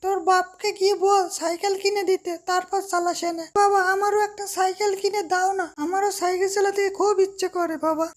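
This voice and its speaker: Lady doing a tiny fox kid, boy, really high thin voice, eager cartoon child. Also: flat delivery, a little fast, few pauses. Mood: pleading. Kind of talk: Bengali fairy-tale cartoon voice.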